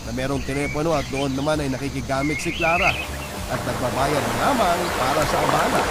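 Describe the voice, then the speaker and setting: A man's voice: on the high side, heavy background noise. A man earnestly narrating a listener's love story letter as scripted narration.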